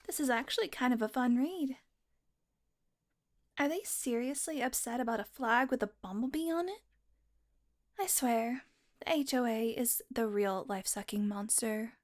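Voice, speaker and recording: A woman's voice: on the high side, normal speed, pauses often, lightly acted. A woman playing a teasing vampire. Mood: amused. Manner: playful, mocking, drifts into whispers. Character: teasing predatory female vampire. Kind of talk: audio roleplay.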